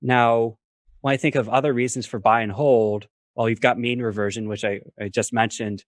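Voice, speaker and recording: Guy professor type on a video call, medium pitch, explains stuff step by step, dry audio. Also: fairly even intonation, dry recording. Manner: measured, reasons step by step with worked examples. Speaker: finance professor, guest expert. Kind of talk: explanatory talk on a livestream.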